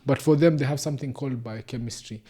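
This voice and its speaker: A man's voice: mid-range voice, fast, dark tone, slightly rough. A young Kenyan man with a Kenyan English accent, a doctor talking casually as a podcast guest, explaining things patiently and slipping into Swahili phrases. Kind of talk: casual conversation.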